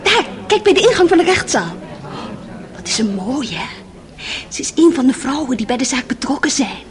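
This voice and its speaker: An actress playing an excitable, catty society gossip in a radio drama, knowing and disdainful. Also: female voice, sits high, moderate pace. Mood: excited, catty.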